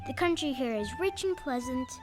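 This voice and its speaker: Small fantasy villager played by a woman: high voice, formal, deferential, earnest, stage audio play with music underneath. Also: expressive, moderate pace. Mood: cautioning. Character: courteous, solemn Munchkin villager, helpful and cautioning. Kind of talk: stage radio play acting.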